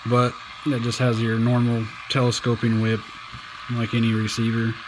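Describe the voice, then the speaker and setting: A man giving a casual hands-on review as a radio hobbyist, plainspoken and folksy with halting phrasing, recorded indoors. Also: mid-range voice, completely flat delivery, noisy background.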